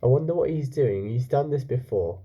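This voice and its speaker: A man reads a children's story in a warm, relaxed tone with lots of rise and fall. He tells it expressively and steps out of the tale to put questions to his young listeners. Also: medium pitch, normal speed. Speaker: teacher reading a story aloud to children.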